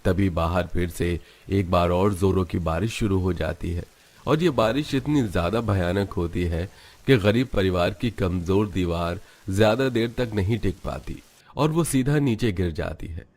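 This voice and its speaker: Deep-voiced male story narrator. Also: measured pace, a little mellow. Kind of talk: scripted dramatic narration of a cartoon story.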